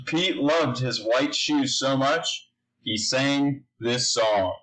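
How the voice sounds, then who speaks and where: A man's voice: mid-range voice, very slow, fairly bright tone, rough recording. A man reading a children's picture book aloud, speaking simply and putting questions to his young listeners. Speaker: story reader.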